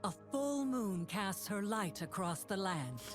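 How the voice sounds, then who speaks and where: An actress playing a solemn, devout warrior woman of sun and moon in a video game, speaking gravely and formally. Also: mid-range voice, big pitch swings, a little slow. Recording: music behind game lines.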